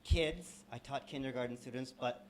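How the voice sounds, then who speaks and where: A man in the audience shares his story with plain enthusiasm in a mid-range voice. He sometimes drops to quieter asides. He sits a little off the mic, with a faint room hum behind him. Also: mid-range voice, moderate pace. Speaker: audience member, language program founder. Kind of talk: casual sharing from the audience. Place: event hall.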